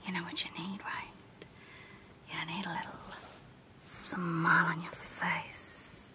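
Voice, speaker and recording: A woman voicing a creepy evil clown dentist in a menacing, teasing, breathy whisper for an ASMR horror roleplay. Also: lowish voice, level tone. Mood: mock concern. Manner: slow, teasing, menacing, drawn-out phrases. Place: small dark room indoors, close to the mic.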